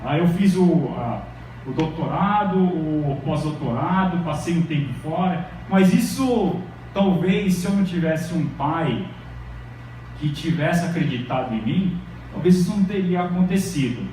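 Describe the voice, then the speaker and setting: A man's voice: a little high, lively intonation, measured pace, a little mellow, a little hiss or hum. A middle-aged Brazilian man gives an informal university lecture. He talks in a chatty way and keeps checking in with the audience. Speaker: university professor giving a lecture. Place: large echoey lecture hall. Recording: very echoey hall from far off.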